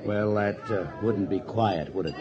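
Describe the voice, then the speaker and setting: A man playing a measured, matter-of-fact insurance executive in a 1940s radio drama. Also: on the low side, natural voice. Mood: serious. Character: businesslike male insurance company vice president. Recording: muffled old radio, crowd noise behind.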